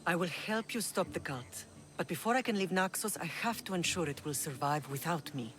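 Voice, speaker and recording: A woman playing a dignified older Greek mother who rules an island, grave and measured, in a video game drama. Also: fairly low pitch, lively intonation, music in the background, natural voice. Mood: commanding.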